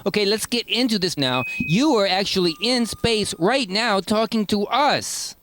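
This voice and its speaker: Male podcast host, wry and conversational, lively pitch, faint noise on the recording. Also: unhurried. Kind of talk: casual comic interview.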